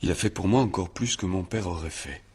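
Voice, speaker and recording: An actor playing a stubborn, guarded country man under questioning in a French radio crime drama, blunt, defensive and halting. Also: sits low, darkish tone, breathy edge. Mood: earnest. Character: stubborn, guarded young male country murder suspect.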